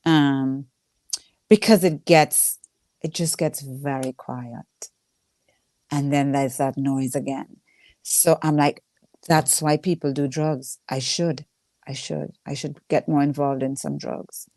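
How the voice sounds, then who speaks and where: A woman's voice: low-pitched, fairly even intonation, slow, warm tone, no echo. A woman with a thick Trinidadian accent speaking candidly in a casual interview as a stand-up comedian, acting out reactions as she tells stories.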